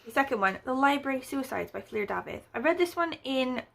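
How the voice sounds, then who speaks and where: A woman's voice: high voice, expressive, fairly quick, gravelly, a bit bright. A woman chatting casually as a book vlogger, rambling with lots of fillers and wry, playfully exaggerated asides. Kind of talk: casual book review chat.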